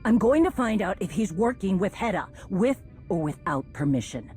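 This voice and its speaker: A woman's voice: medium pitch, big pitch swings, moderate pace, dark tone, soft background music, close to an everyday voice. A woman playing a tough, stubborn warrior leader in a space-opera video game, speaking with blunt determination. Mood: defiant. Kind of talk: cinematic video game acting.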